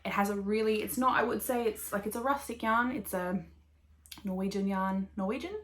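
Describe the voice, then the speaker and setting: An Australian woman in her thirties chats casually to camera as a podcast host. Her talk is rambling and upbeat, full of "like" and "um", at an ordinary middle pitch. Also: a little fast, fairly bright tone. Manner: rambling, upbeat, full of fillers and little laughs. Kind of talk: casual chatty knitting podcast.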